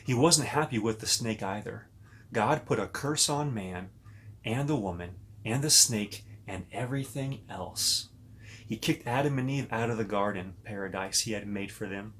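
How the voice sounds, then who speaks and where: A man reading a children's story aloud as a pastor, pausing to talk directly and expressively to young listeners. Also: on the low side, a bit bright.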